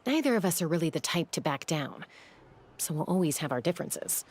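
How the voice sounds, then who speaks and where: A woman voicing a composed, self-assured young older sister in a video game, speaking in a measured, unruffled way. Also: low-pitched, lively intonation, a little mellow, husky. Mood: reassuring.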